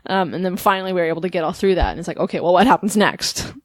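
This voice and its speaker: A woman hosting an improvised comedy podcast, talking fast and rambling with frequent laughs. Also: on the low side, dry recording. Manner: quick, rambling, laughs often. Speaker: podcast host.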